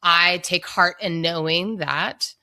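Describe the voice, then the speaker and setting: Interview guest: American woman, low but bright, forceful voice, deliberate conversational delivery. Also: steady tone. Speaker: podcast guest, local organizer.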